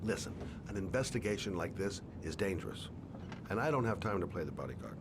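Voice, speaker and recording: An actor playing a weary, gruff private detective in a video game, speaking in terse, measured lines. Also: male voice, low-pitched, sing-song, background noise. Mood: firm, cautioning. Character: weary, gruff male private detective, guarded but kindly. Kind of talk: cinematic video game dialogue. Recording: loud background music.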